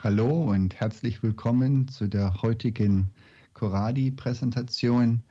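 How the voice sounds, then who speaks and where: A man's voice: mid-range voice, a little slow, dark tone, husky. A man speaking as a guest lecturer, introducing his talk in a formal, hesitant way. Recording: dull lo-fi sound.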